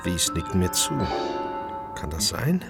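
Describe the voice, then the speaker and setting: An actor playing a tense chef who narrates his own crime story in a German radio drama, terse and inward, with a husky, rough voice. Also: sits low, very animated, takes it slowly, music in the background, exaggerated voice. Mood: anxious, urgent.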